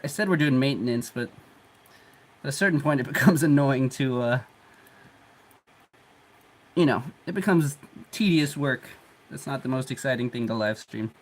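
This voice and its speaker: A congested man hosting a livestream, chatting in a relaxed, rambling way while he works, chuckling often. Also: medium pitch. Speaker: 3D printing livestream host. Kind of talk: casual livestream talk while working.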